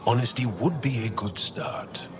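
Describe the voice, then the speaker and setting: An actor playing a gruff, suspicious alien resistance fighter in a video game, with a brusque, distrustful manner. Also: male voice, mid-range voice, expressive, unhurried, close to an everyday voice. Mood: scornful, curt. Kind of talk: video game dialogue acting. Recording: game dialogue over noisy ambience.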